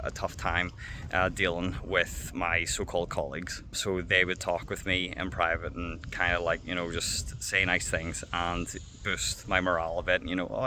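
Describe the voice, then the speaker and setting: A man's voice: lowish voice, background noise. A young man from Northern Ireland with a Northern Irish accent, telling a story in a casual, rambling vlog while walking outdoors. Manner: chatty, rambling, with fillers and wee. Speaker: vlogger. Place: outdoors by a railway line.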